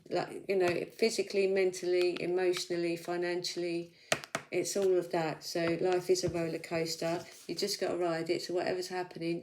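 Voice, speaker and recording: A woman's voice: lowish voice, slight background noise. A woman giving a casual weekly tarot reading, chatty and reassuring, thinking aloud as she goes, with a slight sore throat. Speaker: tarot reader.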